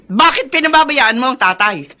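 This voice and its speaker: A woman's voice: high voice, animated, normal speed, sharp tone. A woman playing a blunt, confronting middle-aged Filipina elder sister in a radio drama. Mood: accusing. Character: stern, accusing elder sister, a Filipina office worker.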